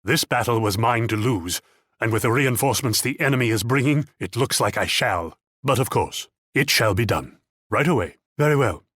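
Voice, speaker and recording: A pompous, shrewd military leader from a strategy game. His medium-pitched voice rises and falls theatrically as he talks down to his listener like a condescending mentor and rival. The sound is dry, with no room around it. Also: fairly bright tone, no room sound. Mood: confident command. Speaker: game character voice actor. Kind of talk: scripted strategy game character lines.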